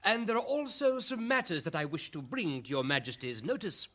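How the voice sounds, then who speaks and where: An actor playing a deferential minister of mines in a satirical radio drama, formal and obsequious. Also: male voice, sits high, sing-song, fairly quick, bright, forceful tone, exaggerated voice.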